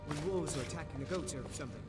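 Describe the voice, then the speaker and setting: A man's voice: fairly high pitch, racing, echoey room, big-room sound, natural voice. An actor voicing a timid young farm boy recruit in a fantasy game, hesitant, stammering and deferential. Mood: nervous. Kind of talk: fantasy video game dialogue. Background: loud game music.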